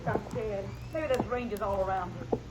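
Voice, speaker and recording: An actress playing a frightened, defiant outlaw woman in a 1950s radio drama, panicky and shrill. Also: moderate pace, heavy background noise, natural voice. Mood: scared. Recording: dull old radio transcription.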